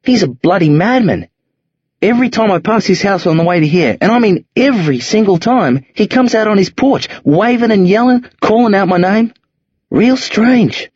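Man voicing tough teenage boy, husky, low and rough, slangy, dull lo-fi sound. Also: animated, moderate pace, darkish tone, no room sound. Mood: uneasy. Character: streetwise teenage boy, tough, pleading, wary. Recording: dull lo-fi audio.

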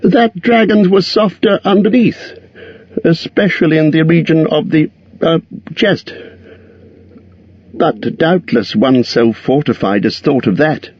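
A man voicing a timid small male hobbit who talks in a high, frightened, fawning squeak. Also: very animated, measured pace, husky, heavily acted. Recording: dull lo-fi sound.

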